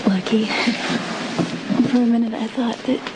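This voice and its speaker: A woman's voice: fairly high pitch, steady tone, unhurried, very noisy, natural voice. A woman playing a shaken, grieving woman in a screen drama.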